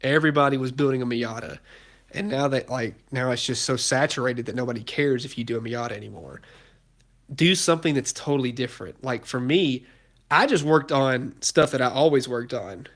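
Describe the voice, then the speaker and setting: A man's voice: mid-range voice, brisk, a bit bright. Young guy, congested, rambling live-stream host filming on his phone in a garage. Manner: rambling, lots of fillers and restarts. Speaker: car YouTuber hosting a live stream. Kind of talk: casual live stream, answering chat questions. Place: cluttered home garage with a project car. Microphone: phone held while filming.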